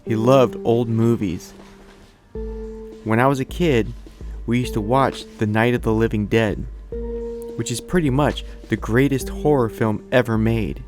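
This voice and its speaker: A man's voice: mid-range voice, steady tone, measured pace, music in the background. A man in his forties talking casually and reflectively as a video creator, sharing personal thoughts. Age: 40s. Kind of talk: casual talk to viewers and reflective personal narration.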